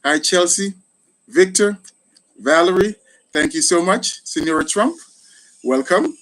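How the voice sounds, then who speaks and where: Middle-aged Jamaican man, slightly high-pitched voice. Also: lively intonation, measured pace, a bit bright, rough recording. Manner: appreciative, thanking people often. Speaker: gardening channel host, retired soldier. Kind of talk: casual live chat with viewers.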